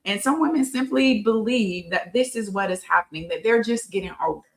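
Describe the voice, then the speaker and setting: A woman's voice: medium pitch, expressive, a little fast. The fifty-year-old woman you would hear hosting an evening women's health session, explaining things conversationally and driving points home with emphatic repeats. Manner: emphatic, conversational, repeats phrases for stress and checks in with "right?". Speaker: host of a women's health talk show. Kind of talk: informal educational talk with personal anecdotes.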